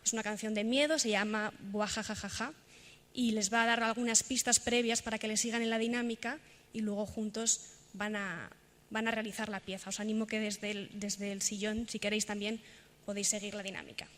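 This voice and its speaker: A woman's voice: mid-range voice, level tone, a little fast, a bit bright, a little hiss or hum. A woman giving a spoken introduction to a performance as a presenter.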